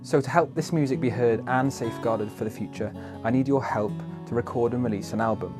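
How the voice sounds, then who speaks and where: A man from West Wales, a folk musician, speaking in a measured, thoughtful way with hesitant pauses. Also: medium pitch. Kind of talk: reflective spoken appeal for a project. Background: plucked guitar music.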